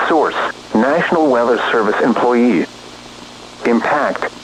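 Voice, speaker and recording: A man's synthetic, formal voice reading a scripted emergency weather warning in a flat, robotic alert-system delivery. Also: mid-range voice, a little hiss or hum, low-quality recording.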